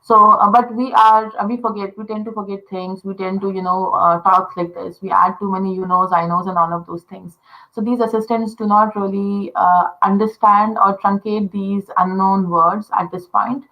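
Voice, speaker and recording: A woman's voice: mid-range voice, little pitch movement, normal speed, dull audio. A woman from India with an Indian accent, a native Telugu speaker, explaining conversation design in casual talk full of fillers like "uh" and "you know".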